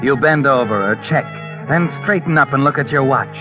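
A man's voice: medium pitch, animated, normal speed, narrow, tinny audio, close to an everyday voice. Guy doing sinister old radio narrator, taunting, big swooping delivery, music behind. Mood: knowing, suspenseful. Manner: sardonic, dramatic, suspenseful. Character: sinister, knowing, all-seeing narrator taunting the schemer. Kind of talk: 1940s radio mystery narration.

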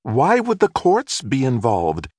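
A man's voice: mid-range voice, wildly swooping pitch, takes it slowly, darkish tone, dry recording, pitched above the performer's own voice, full character voice. A man voicing a nosy neighbor woman who gushes with dramatic pity, exclamatory and overwrought, in audiobook dialogue. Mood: shocked pity.

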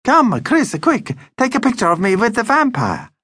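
A Greek shopkeeper's wife from a storybook, acted out by a man, eager and excitable. The voice is high and bright, urging in short exclamations. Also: normal speed, slightly rough, no room sound, studio quality, exaggerated voice. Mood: excited.

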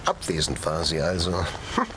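Vain, swooping-voiced master thief. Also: male voice, low voice, huge pitch swings, normal speed, background noise. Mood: amused, mocking. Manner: pompous, theatrical, mocking. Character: vain, sardonic French master thief, easily indignant. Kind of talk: German audio drama acting.

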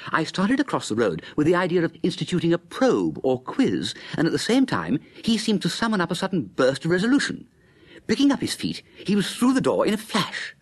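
A man playing an affable, idle young upper-class English gentleman in a radio comedy, bumbling politely in breezy period slang and florid phrases. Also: on the high side, husky, exaggerated voice. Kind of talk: radio comedy acting and first-person narration.

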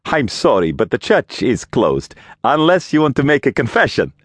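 A man's voice: medium pitch, huge pitch swings, normal speed, husky, no room sound, voice pushed up. Man playing a courteous, uneasy old priest. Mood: uneasy, apologetic. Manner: courteous, apologetic, guarded. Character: polite, anxious old Italian village priest.

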